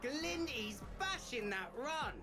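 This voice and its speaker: An actor playing an excitable, loyal street kid in a video game, talking in cheery, informal slang. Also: high voice, slow, loud music behind the voice, full character voice. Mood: excited. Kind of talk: video game character acting.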